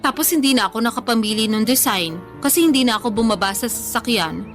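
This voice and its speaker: A woman's voice: fairly high pitch, expressive, fast talker, fairly bright tone, soft background music. A woman playing a playful, stubborn, food-loving woman in her thirties, bantering casually in a radio drama. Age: 30s.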